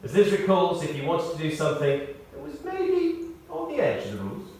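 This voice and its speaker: A man reading a prepared tribute aloud in short, measured phrases, with wry storytelling. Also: on the high side, huge pitch swings, a little mellow. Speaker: tribute speaker. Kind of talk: read-out memorial tribute with anecdotes. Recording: echoey hall, distant, faint noise.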